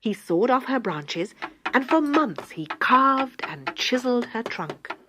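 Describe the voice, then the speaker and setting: Slow, theatrical woman storyteller. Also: a little high, very animated, background noise. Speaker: storybook reader. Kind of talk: scripted children's story narration.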